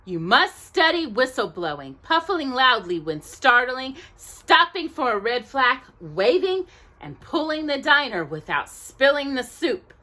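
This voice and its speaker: A woman's voice: high voice, very animated, a little slow, pushed and bright, pitched above the performer's own voice, exaggerated voice. A woman voicing a kindly but firm old male head of a railway school in a children's storybook. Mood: firm, instructive. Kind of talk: children's storybook read-aloud.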